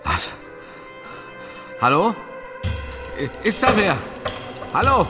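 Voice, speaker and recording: High-pitched, nervous, flustered man. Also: very animated, slow, over music, heavily acted. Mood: alarmed. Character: flustered, nervous man inside a remembered scene. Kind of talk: German radio drama acting.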